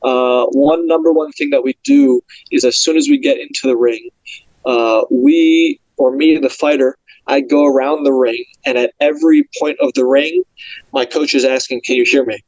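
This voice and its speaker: A young man, a pro boxer, talking casually and upbeat in a podcast interview, in quick animated bursts. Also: mid-range voice, creaky, no echo, lo-fi sound.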